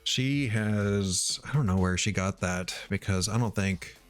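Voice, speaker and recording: A middle-aged man from Missouri thinking aloud while he writes code, halting with many ums and uhs. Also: lowish voice, faint music behind. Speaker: live-coding streamer.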